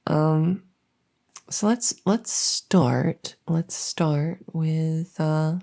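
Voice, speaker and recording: A woman playing a silly, harmless monster from under the bed in an ASMR audio roleplay, rambling softly and hesitantly with awkward earnestness. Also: low-pitched, extremely slow, creaky, heavily acted. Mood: awkwardly earnest. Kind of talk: ASMR audio roleplay, one-sided improvised-sounding interview.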